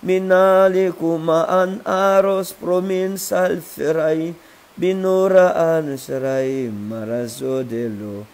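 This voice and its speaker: A man in his thirties, a prophet preaching and praying fervently in tongues on a livestream, speaking slowly and with repetitive emphasis into an earphone mic in a living room. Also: fairly high pitch, little pitch movement, darkish tone. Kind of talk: livestream prayer and sermon. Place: living room with a sofa, indoors. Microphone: wired earphone mic.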